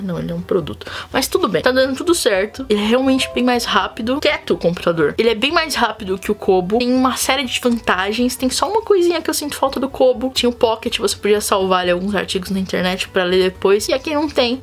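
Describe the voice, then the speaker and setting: A young woman with a Brazilian Portuguese accent, hosting a casual vlog and chatting expressively with frequent asides to her viewers. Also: a little high. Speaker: channel host.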